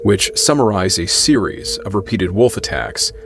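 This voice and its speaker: A man reading scripted documentary narration in a measured, even way. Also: fairly low pitch, music in the background.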